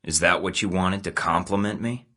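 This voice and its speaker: Video game spy lead: low voice, dry wit, terse and sardonic. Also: male voice, fairly even intonation, normal speed, pushed and bright, slightly rough, heavily acted. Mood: cool. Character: cocky male secret agent, dry and sardonic. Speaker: game character.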